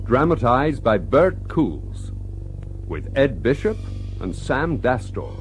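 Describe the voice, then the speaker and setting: A man announcing the title and credits of a radio drama in a measured, deliberate manner. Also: mid-range voice, very animated, faint music behind, low-quality recording.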